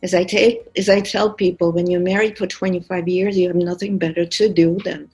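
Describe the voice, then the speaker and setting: A woman's voice: fairly low pitch, fairly even intonation, a little fast, darkish tone. Woman, 50s, Russian accent, candid and wry, frequent fillers, faint background music. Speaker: podcast producer and guest. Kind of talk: casual personal story.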